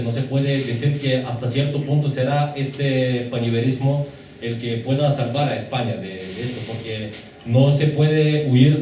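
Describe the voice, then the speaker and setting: A man's voice: medium pitch, dark tone. A man who is not a native Spanish speaker, asking a long, hesitant question from the audience at a lecture. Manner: hesitant, many fillers and restarts. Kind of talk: formal question from the floor. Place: lecture hall. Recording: echoey hall, distant mic, background noise.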